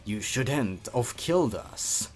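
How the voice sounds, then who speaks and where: Man putting on a hushed, ominous vengeful villain. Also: mid-range voice, expressive, voice pushed down. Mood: ominous, menacing. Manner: slow, menacing. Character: ominous vengeful toy villain haunting a gamer.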